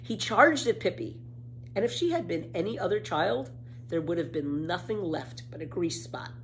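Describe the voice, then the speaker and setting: A woman reading a children's story aloud with dramatic, emphatic, expressive narration. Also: lowish voice, normal speed, low-quality recording. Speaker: storybook reader. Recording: faint background noise.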